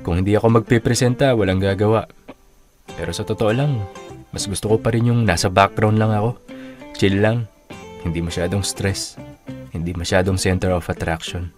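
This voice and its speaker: A Filipino actor playing a forgiving, introverted young office worker in conversational radio drama. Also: male voice, low voice, a little mellow, close to an everyday voice.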